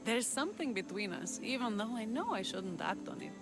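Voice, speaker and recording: A woman playing a brave young rebel leader and huntress in a video game, haunted yet tender, speaking in an earnest, measured, dramatic way. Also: on the high side, very animated. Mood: tender, intimate. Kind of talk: cinematic video game acting. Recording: cinematic music behind the voice. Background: music.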